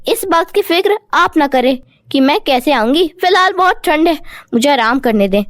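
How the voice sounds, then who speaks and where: A woman's voice: sits high, lively intonation, moderate pace, no room sound. A woman voicing a stubborn, pleading young wife who always feels cold, in cartoon moral-story dialogue. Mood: defiant.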